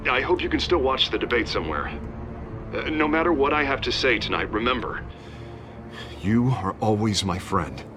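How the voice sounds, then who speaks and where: An actor playing an anxious, self-interested politician in a video game, hesitant, chummy and persuasive. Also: male voice, mid-range voice, expressive, normal speed, loud music behind the voice, lightly acted. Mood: grateful. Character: male politician, anxious, ingratiating, self-interested campaign candidate.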